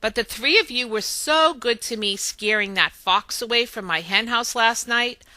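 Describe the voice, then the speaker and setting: A woman's voice: on the high side, normal speed, pushed and bright, no room sound. A woman voicing a grumbling but obliging female hen in a children's storybook, scolding indulgently.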